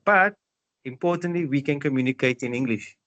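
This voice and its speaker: A middle-aged man from South Africa, answering interview questions in a measured, explanatory way and often repeating phrases. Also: mid-range voice, a bit bright, lo-fi sound.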